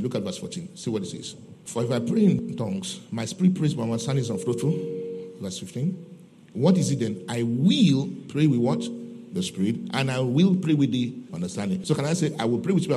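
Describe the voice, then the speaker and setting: A man's voice: mid-range voice, animated, fast, noisy background. A man with a Nigerian accent preaching a teaching sermon, emphatic, repeating phrases and answering his own rhetorical questions. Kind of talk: teaching sermon with scripture readings and questions to listeners.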